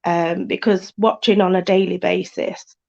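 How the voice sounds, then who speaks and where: British female caller, casual and halting, some vocal fry, muffled lo-fi audio. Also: on the low side, level tone, a little mellow. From United Kingdom. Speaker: caller to a live show. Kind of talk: casual chat. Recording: dull, lo-fi call audio.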